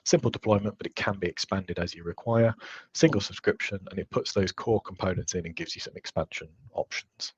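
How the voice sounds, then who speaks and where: A man in his thirties with a croaky, hoarse voice, giving a relaxed webinar presentation from a home office over a headset. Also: low voice, fairly quick, dry recording. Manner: relaxed, informal, frequent fillers. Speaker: guest presenter.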